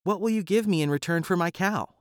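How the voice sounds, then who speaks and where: Storybook boy, young and polite, pitched up high by a man narrator. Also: fairly quick, no echo, studio quality, lightly acted. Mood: pleading. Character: young boy Jack, poor and hungry.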